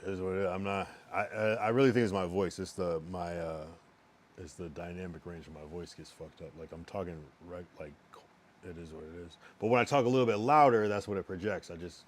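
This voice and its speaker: A young man with a strained, worn voice, chatting casually on a live stream and rambling with lots of restarts. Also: sits low. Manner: rambling, halting, many restarts. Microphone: streaming microphone.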